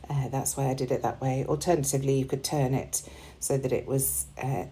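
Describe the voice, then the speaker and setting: A woman with a low, mellow voice, a little hoarse, talking through a craft project in a relaxed, chatty way. She fills pauses with ums and uhs as she explains each step. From United Kingdom. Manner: relaxed and chatty, with frequent ums and small laughs. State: slightly hoarse, losing her voice. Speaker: crafting channel host. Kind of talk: casual voice-over narrating a scrapbooking process.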